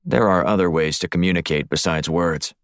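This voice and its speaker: A man's voice: low-pitched, a little fast, smooth, no echo, studio quality. A man voicing a cool, wry male secret agent in an audiobook, terse and unflustered. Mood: wry, defiant. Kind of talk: audiobook character voice.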